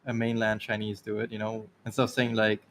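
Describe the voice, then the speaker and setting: A man's voice: on the low side, monotone, fairly quick, fairly bright tone, slight background noise. A man with a Taiwanese accent chatting casually on a stream, rambling through anecdotes and laughing often. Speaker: stream co-host. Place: lives in Ireland.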